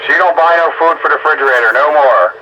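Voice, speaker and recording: A man talks over a two-way radio with a dull, slightly noisy signal. His voice is bright and forceful and sits a bit high. He chats casually in folksy, colloquial phrasing. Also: fast. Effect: two-way radio transmission.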